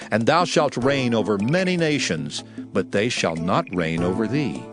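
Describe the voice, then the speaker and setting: Animated male Bible narrator over prominent music. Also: on the low side. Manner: solemn, declamatory, measured phrasing. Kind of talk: dramatized scripture reading. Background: background music.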